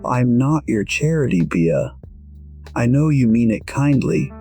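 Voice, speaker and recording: A man voicing a weary, proud musician in his sixties, narrating an audio drama in a measured, reflective way. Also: medium pitch, big pitch swings, warm tone, soft background music. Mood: tired, defensive. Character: weary, proud 62-year-old male musician, quietly regretful. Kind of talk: first-person scripted narration, audio drama.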